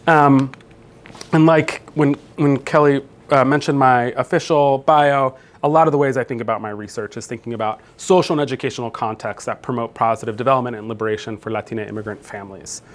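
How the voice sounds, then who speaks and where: A man in his thirties gives a keynote talk in a conversational, unhurried way, full of fillers and restarts. His voice sits at an ordinary middle pitch. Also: steady tone. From New Jersey, US. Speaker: keynote speaker, professor. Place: conference room.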